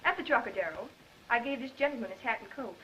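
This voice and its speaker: A woman's voice: fairly high pitch, expressive, fast, bright, forceful tone, a little hiss or hum. An actress playing a young woman in a 1930s crime film. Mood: matter-of-fact. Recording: muffled 1930s film soundtrack.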